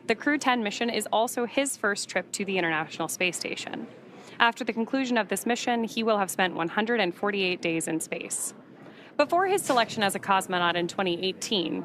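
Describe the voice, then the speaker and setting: A woman's voice: mid-range voice, a bit bright, slight background noise. A woman working as a live space mission commentator, giving informative commentary that is partly read from notes.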